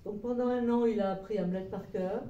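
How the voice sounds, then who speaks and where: A woman's voice: mid-range voice, steady tone, a little fast, mellow, dull audio, slight background noise. A woman presenting her book to a small audience in a meeting room. She tells it as a digressive story, full of hesitations and restarts. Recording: roomy echo, voice far from mic.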